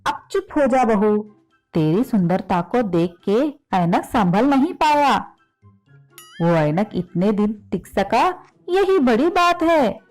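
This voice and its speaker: A woman's voice: fairly high pitch, expressive, takes it slowly, a little mellow, faint music behind, voice pushed up. A woman voicing an irritable, spiteful mother-in-law in a children's moral story, sarcastic and grumbling. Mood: mocking.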